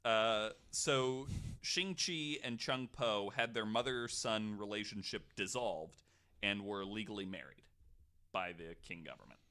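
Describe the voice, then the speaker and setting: A man's voice: on the low side, unhurried, pushed and bright. A man hosting a podcast, telling a researched story in a casual, jokey, informal way. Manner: informal, swears, jokey asides.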